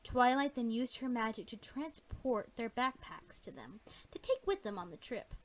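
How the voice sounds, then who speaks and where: A woman's voice: on the high side, very animated, normal speed. A woman narrating a fan fiction story as its storyteller.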